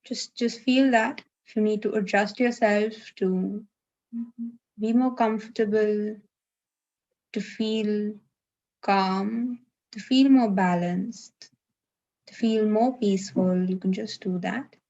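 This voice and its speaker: Woman, meditation instructor, slow unhurried delivery, gentle guidance, long pauses, muffled online-call sound. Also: medium pitch, steady tone. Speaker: meditation guide. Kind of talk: live guided meditation. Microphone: video call.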